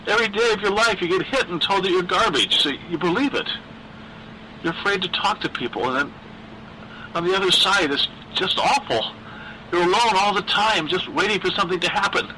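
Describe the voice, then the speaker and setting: A man voicing a lonely, obsessive teenage boy ghost who pleads in a halting, plaintive, insistent way in a horror story. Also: high-pitched, pushed and bright, slight background noise, pitched above the performer's own voice, rough recording. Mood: anguished.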